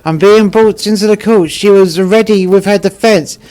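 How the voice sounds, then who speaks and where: A man's voice: high voice, normal speed, darkish tone. A man reading short fables aloud as a scripted storybook narration.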